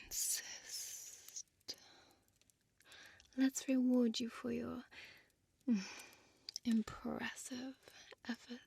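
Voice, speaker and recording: Woman as a slow, whispering seductive vampire. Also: fairly high pitch, flat delivery, exaggerated voice. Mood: coaxing, commanding. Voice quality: breathy whisper. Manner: slow, deliberate, hushed, teasing formal speech. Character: seductive, possessive female vampire, elegant and predatory. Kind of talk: intimate whispered audio roleplay, ASMR. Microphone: close dry studio microphone.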